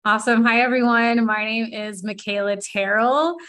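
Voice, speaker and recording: A woman's voice: fairly high pitch, fairly even intonation, normal speed, a bit bright. A woman in her thirties speaking as a podcast guest in a casual, conversational way, with many fillers and light laughs. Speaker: podcast guest and board member.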